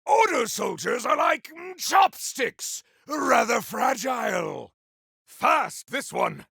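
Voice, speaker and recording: Battle-hungry video game warrior: forceful, gravelly, theatrical and declamatory, in a very dry recording. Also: male voice, extremely high pitch, big pitch swings, takes it slowly, no room sound, full character voice. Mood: battle fury. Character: proud, battle-hungry warrior with grandiose, formal speech. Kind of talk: video game combat barks.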